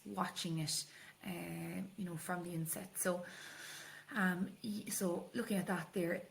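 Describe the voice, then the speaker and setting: A woman's voice: lowish voice, fairly even intonation, unhurried, vocal fry, slight background noise, poor audio. A woman with an Irish accent teaching an exam revision tutorial, explaining informally and hesitantly, with Irish-language terms mixed in.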